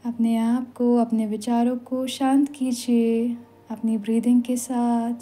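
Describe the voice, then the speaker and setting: Meditation instructor: Indian woman, slightly high but relaxed voice, soothing and unhurried, long pauses. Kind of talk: guided meditation in Hindi with some English phrases. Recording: voice over background music. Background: meditation music.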